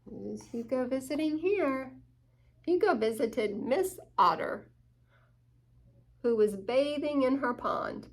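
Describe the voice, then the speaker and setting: A woman reads a picture book aloud to young children. Her voice is high and very expressive, with very slow, dramatic emphasis and inviting questions to listeners. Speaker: children's story-time host.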